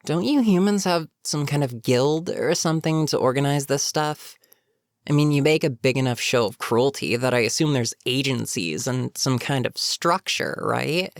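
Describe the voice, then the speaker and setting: A voice actor playing a bitter, menacing goblin captain in a fantasy audio roleplay, speaking to a captive with sardonic, unhurried menace. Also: mid-range voice, no room sound, clean studio sound, close to an everyday voice. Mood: wry, probing. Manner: sardonic, unhurried, matter-of-fact threats. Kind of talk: scripted fantasy audio roleplay, addressing the listener.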